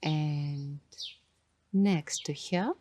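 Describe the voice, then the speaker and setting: Female ASMR host, extremely unhurried and hushed, dark mellow tone, dreamy halting phrasing. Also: low voice, slight background noise. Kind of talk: ASMR guided coloring narration.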